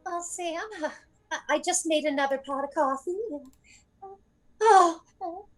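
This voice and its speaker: A woman's voice: extremely high pitch, unhurried. A woman playing a sweet, naive, fluttery mother with genteel chatty ways in an old-time radio drama. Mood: shaken, tearful.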